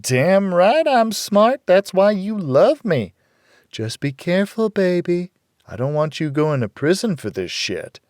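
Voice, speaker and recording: A man's voice: high voice, very animated, measured pace, darkish tone, no room sound, studio quality, pitched above the performer's own voice. A man voicing a brash, scheming, foul-mouthed biker woman in an audiobook. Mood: smug. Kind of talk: audiobook character voice.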